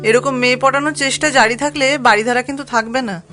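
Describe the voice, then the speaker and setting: A woman's voice: high-pitched, fairly even intonation, quick pace, over music, natural voice. An actress in an audio drama playing a guarded young woman with a curt, sardonic, scolding way of talking.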